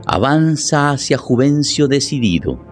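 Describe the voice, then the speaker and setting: A man's voice: mid-range voice, sing-song, unhurried, breathy edge, faint music behind. A man delivering scripted dramatic narration as the narrator of a story.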